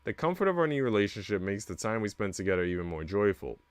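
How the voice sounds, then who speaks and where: A man's voice: on the low side, big pitch swings, fast, no room sound. A young man streaming a visual novel read-aloud, narrating with casual, slangy reactions.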